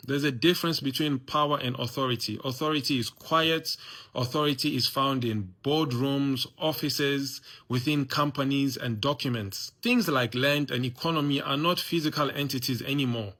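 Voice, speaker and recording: A man's voice: mid-range voice, normal speed, pushed and bright. A man giving an opinion monologue, lecturing viewers and often adding "you know" and "guys". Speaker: commentator.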